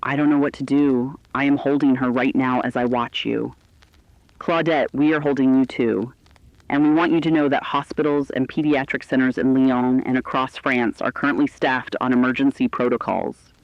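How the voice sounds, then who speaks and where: Guy acting as a compassionate male radio co-anchor, earnest and reassuring, mid pitch, dry but kinda dull audio. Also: flat delivery, normal speed, no echo, natural voice. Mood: consoling, earnest. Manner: earnest, consoling. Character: reassuring, compassionate co-anchor comforting frightened audience. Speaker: news co-anchor. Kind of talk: scripted broadcast address to listeners.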